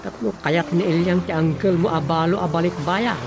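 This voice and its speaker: A man's voice: high voice, moderate pace, gravelly, background noise. A man playing a practical, sceptical younger relative in an Ilocano radio comedy, talking matter-of-factly with an Ilocano accent. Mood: musing.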